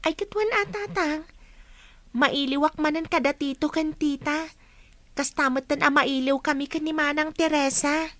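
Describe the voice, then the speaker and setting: A woman's voice: high-pitched, fairly even intonation, moderate pace, a bit bright, dry recording. A woman playing a studious, considerate college-age daughter in a family audio drama, speaking respectfully and reassuringly. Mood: respectful, earnest.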